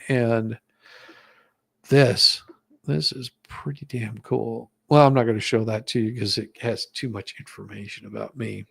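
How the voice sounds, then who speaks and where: Gravelly, husky man chatting on a livestream. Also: on the low side, fairly even intonation, measured pace, no echo. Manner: rambling, hesitant. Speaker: livestream host. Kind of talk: casual livestream chat.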